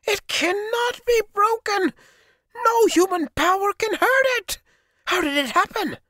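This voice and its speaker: A man's voice: wildly swooping pitch, takes it slowly, pushed and bright, breathy edge, no echo, clean studio sound, exaggerated voice. A man voicing an old mountain sorcerer who shrieks and wails in grief and rage. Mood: anguished. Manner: shrill, wailing, anguished. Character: old male mountain sorcerer, grieving and raging.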